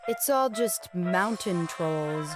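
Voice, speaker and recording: A woman's voice: lowish voice, lively intonation, slow pace, over music. A woman playing a weary, determined young female climber in a family audio drama, blunt, dry and guarded. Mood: irritated, skeptical. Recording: clear background noise, ambience.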